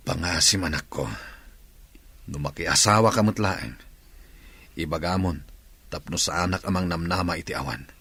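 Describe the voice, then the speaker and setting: A man's voice: deep voice, moderate pace, pauses often, bright, forceful tone, slightly rough, no room sound, full character voice. A man playing a worried, earnest father with an Ilocano accent. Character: worried middle-aged father. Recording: noisy background.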